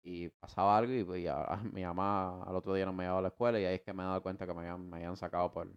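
Young man, cuban spanish accent, very low smooth voice, dull muffled sound, rambling slangy storytelling. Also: racing. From United States. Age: 20s. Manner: rambling, many restarts and repeated words; slangy and relaxed. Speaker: podcast co-host. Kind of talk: casual conversation about his life.

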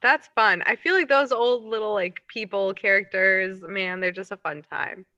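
Very bright-voiced woman, slightly lo-fi recording. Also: medium pitch, normal speed. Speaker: podcast co-host, improviser. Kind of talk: casual chat.

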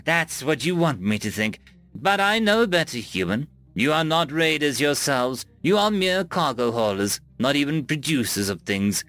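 A man's voice: fairly high pitch, sing-song, normal speed, a bit bright, no room sound. A man voicing a proud, scornful female alien starship captain with eye stalks who snarls orders at her crew.

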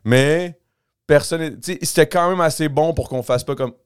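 A man's voice: on the high side, normal speed, smooth. A young man with a Quebec French accent chatting casually on a podcast, slangy, often restarting his sentences and mixing in English words. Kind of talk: casual chat.

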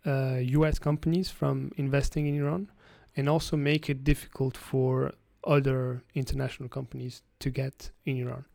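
A man from France, a guest analyst giving explanatory interview answers, halting with frequent restarts. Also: medium pitch, little pitch movement, creaky, darkish tone, dry recording.

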